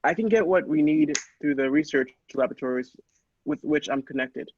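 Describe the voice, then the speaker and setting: A man's voice: fairly high pitch, moderate pace, lo-fi sound, a little hiss or hum, lightly acted. A man playing an earnest, shaken doctor in a radio play, agreeable and hesitant, with dramatic stammers. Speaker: podcast actor.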